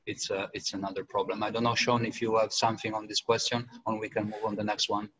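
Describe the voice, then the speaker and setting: A man's voice: on the low side, level tone, fast, a bit bright, husky, rough recording. A man with an Italian accent moderates a panel webinar. He hesitates and repeats words as he reads out questions.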